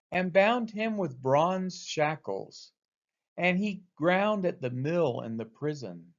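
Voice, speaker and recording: A man reading scripture aloud as expressive narration, with deliberate, dramatic emphasis on quoted speech. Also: fairly high pitch, dull audio. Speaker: scripture reader.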